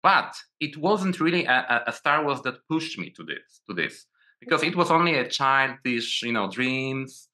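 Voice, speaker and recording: A Polish-accented man in his forties speaks as a guest over a video call. His voice is very bright and forward, and he stumbles and restarts often, repeating words as he searches for them. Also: mid-range voice, sing-song, normal speed. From Poland. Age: 40s. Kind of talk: casual video call interview.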